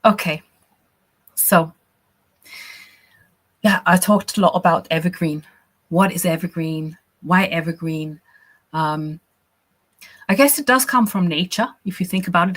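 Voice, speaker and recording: A woman's voice: on the low side, measured pace, long pauses, a bit bright. A woman from Austria, a business coach teaching a live workshop in a chatty, encouraging way, often checking in with "right?" and "you know".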